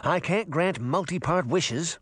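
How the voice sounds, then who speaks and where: Man voicing a grouchy, gurgling talking fish, high-pitched. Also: animated, measured pace, a bit bright, dry recording, clean studio sound, heavily acted. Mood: grumbling, blunt. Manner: gruff complaining, streetwise insults. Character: grouchy, sarcastic, wisecracking male talking fish.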